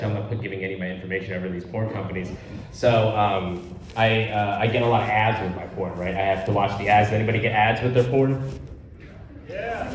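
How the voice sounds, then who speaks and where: A man's voice: medium pitch, very echoey, low-quality recording. A man doing a stand-up comedy set in a rambling, profane, conversational way in a live venue. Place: live comedy show, on stage.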